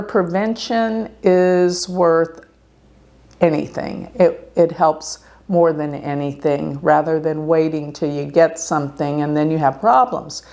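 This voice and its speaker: A woman astrologer giving an earnest, slow warning talk to her viewers, clearing her throat now and then. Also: on the low side, a little mellow. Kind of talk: casual talk to camera.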